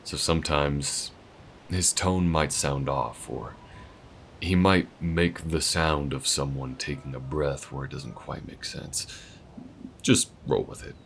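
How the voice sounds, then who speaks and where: A man's voice: very deep voice, slow, gravelly, fairly bright tone, a little hiss or hum, full character voice. A man voicing a friendly, easygoing male alien who shows the listener around town in an audio roleplay, talking in a folksy, laid-back drawl.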